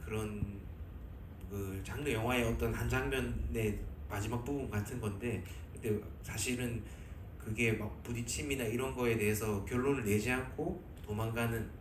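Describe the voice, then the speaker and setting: A man, a film director, answering slowly at a press conference and searching for his words. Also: mid-range voice, fairly even intonation. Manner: halting, searching for words, many fillers. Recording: echoey hall from far off, background noise.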